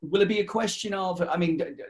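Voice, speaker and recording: A man's voice: high-pitched, fast talker. A man hosting a casual radio interview, asking chatty, rambling questions.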